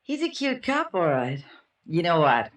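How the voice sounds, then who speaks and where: Teasing, tipsy noir widow. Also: female voice, fairly low pitch, wildly swooping pitch, unhurried, recorded from a distance. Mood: flirtatious, tipsy. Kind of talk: 1950s radio noir acting. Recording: off-mic vintage radio recording.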